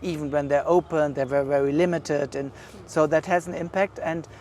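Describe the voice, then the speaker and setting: A man with a German accent speaks in a slightly raised voice, pausing often and reaching for words as he thinks aloud. He is recorded outdoors, with faint traffic and city noise behind him. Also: fairly high pitch, fairly even intonation, a little mellow. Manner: thoughtful, hesitant, many fillers. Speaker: curator being interviewed. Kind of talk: casual interview. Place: outdoors at an art exhibition.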